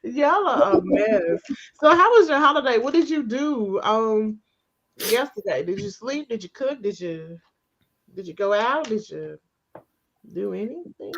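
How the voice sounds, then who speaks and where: A woman's voice: a little high, expressive, a little slow, a bit bright. A woman hosting a podcast in casual chat, teasing and chatty with her guests. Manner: chatty, teasing, laughs often. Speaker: podcast host. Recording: slightly muffled with faint hiss.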